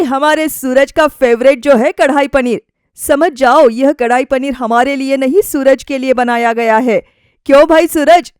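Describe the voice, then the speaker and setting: A woman's voice: high voice, clean tone, no echo, studio quality. A woman voicing a chummy young man at dinner in a story, jovial and teasing.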